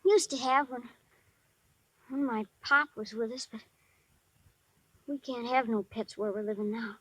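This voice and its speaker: An actor playing a shy but tough-talking ten-year-old boy in 1950s radio drama, with halting, slangy speech. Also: medium pitch, steady tone, a bit bright, a little hiss or hum, lightly acted. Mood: halting, wistful. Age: child figure, almost eleven. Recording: dry vintage 1950s radio recording.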